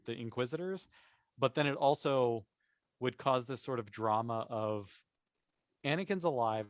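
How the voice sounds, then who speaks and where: A man's voice: medium pitch, dry recording, studio quality. A man talking casually as a podcast panelist, explaining his opinions and thinking aloud as he goes. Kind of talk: casual opinionated discussion of a TV series.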